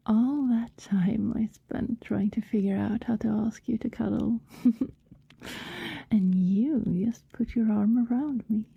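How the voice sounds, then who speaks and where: A woman playing an affectionate, wistful vampire neighbour in an intimate ASMR roleplay, speaking softly and tenderly, often in a hesitant whisper. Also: fairly high pitch, no echo, phone-quality sound, heavily acted. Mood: tender, pleased. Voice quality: soft, breathy, often whispered. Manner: slow, tender, hesitant murmuring.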